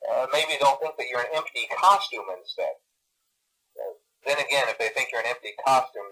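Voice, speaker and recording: A man's voice: medium pitch, bright, forceful tone, breathy edge, low-quality recording. A man playing an awkward, upbeat employee leaving nervous, hesitant training messages over a telephone in a video game. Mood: awkward backtracking. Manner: hesitant, stammering, chatty, falsely reassuring. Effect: telephone filter. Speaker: security trainer leaving recorded phone messages.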